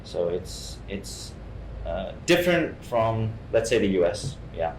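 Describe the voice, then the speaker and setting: A young man from Hong Kong talks casually as a guest in an interview. His voice sits on the low side, and he often stumbles, repeating words before carrying on. The room is echoey, with a faint noise underneath. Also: sing-song, slow pace. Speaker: guest actor. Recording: roomy sound with faint noise.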